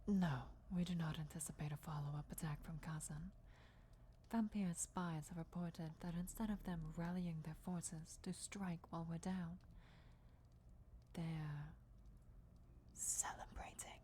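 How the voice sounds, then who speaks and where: A woman voicing a deferential vampire emissary in a fantasy ASMR roleplay, speaking in a formal, measured, breathy whisper. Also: on the low side, steady tone, slight background noise, natural voice. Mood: hushed, deferential. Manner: hushed, formal, measured, reverent. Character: deferential female vampire emissary briefing her lord before war.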